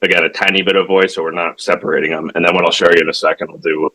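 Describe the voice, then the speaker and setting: Tech founder, male, deep but crisp-edged voice, walking through a product informally, halting and conversational. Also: level tone, bright, forceful tone, low-quality recording. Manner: halting, self-correcting, conversational, checks in with "right?". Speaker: tech company founder demonstrating his product. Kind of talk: informal product demo explanation.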